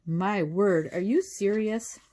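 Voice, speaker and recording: A woman voicing a kindly, calm little male professor with a British accent and quaintly proper manners, in a storybook reading. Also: medium pitch, takes it slowly, close to an everyday voice. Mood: genial, curious.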